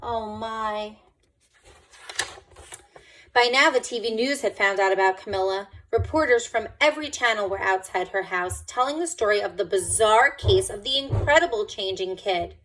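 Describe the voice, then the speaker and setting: A woman's voice: medium pitch, normal speed, fairly bright tone, rough recording. A woman reading a picture book aloud to children, expressively and with dramatic emphasis.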